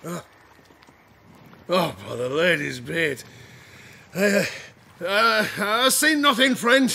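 A boozy sailor, high creaky voice, slurring and rambling, noise behind him. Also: male voice, lively intonation, slow, bright, forceful tone, exaggerated voice. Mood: uneasy. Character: rambling drunken male sailor, tavern storyteller. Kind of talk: audio drama acting. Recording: clear background noise.

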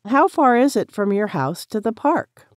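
A woman with an American accent reads practice sentences very slowly, each word laid out plainly for learners. Her pitch rises and falls freely across each line. The sound is dry and close, with no room around it. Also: big pitch swings, no room sound. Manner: clear, careful, each sentence said three times. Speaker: English practice reader. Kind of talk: slow repeated sentence reading for drills.

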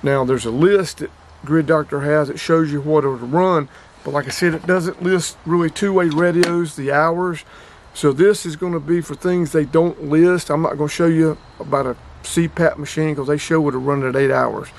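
A man's voice: on the high side, steady tone, normal speed. A man in his fifties hosting a casual vlog, talking through a product demo in a plain-spoken, rambling way that repeats itself, close to the camera in a small storage room. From North Carolina. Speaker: outdoors and radio channel host.

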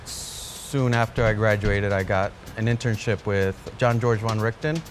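Reflective chef. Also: male voice, fairly low pitch. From born in Colombia, raised in Queens, New York. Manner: reflective, halting, many restarts and "you know" fillers. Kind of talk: documentary interview. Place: restaurant dining room. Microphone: off-camera interview mic, seated close framing. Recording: music bed under the voice.

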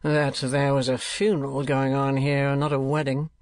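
Cold storybook moneylender, read by a man: mid-pitched, sardonic, deliberate, close dry recording. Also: monotone, no room sound, close to an everyday voice. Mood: dry, subdued. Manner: dry, contemptuous, deliberate mockery.

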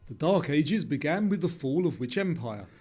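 Higher-pitched man, lively quiz narrator. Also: normal speed, breathy edge, soft background music.

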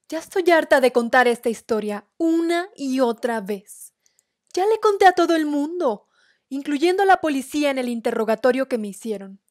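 A woman voicing a tired, fed-up waitress who talks with weary exasperation. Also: high voice.

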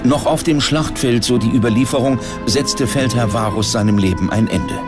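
A man's voice: mid-range voice, normal speed. A man reading scripted narration for a history documentary. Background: clear background music.

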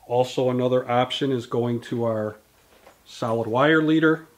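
A man's voice: medium pitch, normal speed. Man in his fifties explaining tackle. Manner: detailed, explanatory, gesturing with products in hand. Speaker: fishing tackle company guest. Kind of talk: product explanation interview. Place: indoor studio in front of a banner.

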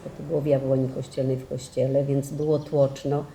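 A woman's voice: a bass voice, little pitch movement, fairly quick, vocal fry, dark tone, slight background noise, poor audio. An older woman, recalling the past casually and searching for her words. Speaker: senior sharing memories. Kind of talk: casual spoken reminiscence. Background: music.

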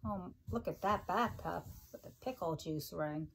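A middle-aged woman reading a picture book aloud to young children like a teacher, with expressive, sing-song delivery and chatty asides. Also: fairly low pitch, a little slow, creaky, background noise. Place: kitchen-like room at home, indoors.

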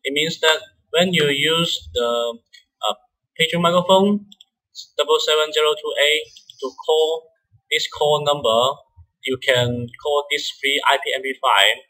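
A man who is a non-native English speaker presents a step-by-step software tutorial in a halting way, often repeating words. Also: on the high side, poor audio. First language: not English.

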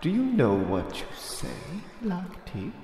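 A man's voice: on the high side, huge pitch swings, slow pace, warm tone, full character voice. An actor playing a stern, loyal alien tribesman in a radio drama, admonishing in manner. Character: stern, loyal male alien tribesman defending his elder. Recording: echoey hall, faint noise.

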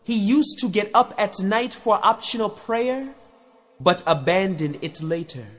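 A man reads religious text as a scripted audiobook. His voice is high for a man, and he goes very slowly, with a measured, solemn delivery. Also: lively intonation, a bit bright. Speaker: narrator of translations and hadith.

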